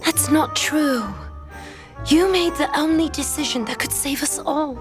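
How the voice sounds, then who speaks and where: A woman playing an earnest, consoling young heroine in an audio drama table read, gentle and encouraging. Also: sits high, a little slow, music in the background.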